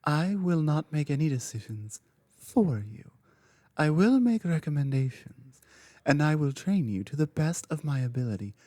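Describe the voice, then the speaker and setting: A woman playing a powerful dragon queen in an ASMR roleplay, speaking in a deliberate, solemn manner that dips into whispers. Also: low-pitched, very animated, mellow, dry recording. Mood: firm, measured. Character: powerful, commanding dragon queen training a human champion. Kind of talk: ASMR audio roleplay, scripted monologue.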